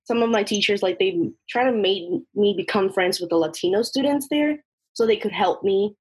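A woman's voice: medium pitch, moderate pace, breathy edge, poor audio. A young woman from northern Mexico, a Spanish speaker, telling personal stories casually with lots of filler "like" and acted-out dialogue. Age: young adult. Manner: informal, heavy use of "like", quoting dialogue. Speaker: podcast participant.

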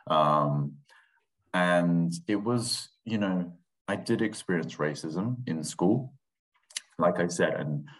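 A man's voice: sits low, takes it slowly, rough recording. A man in his thirties, raised in England after a childhood that began in Thai, talking reflectively and hesitantly as a podcast guest. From England, grew up in Swindon. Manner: reflective and hesitant, with many fillers and restarts. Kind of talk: casual interview.

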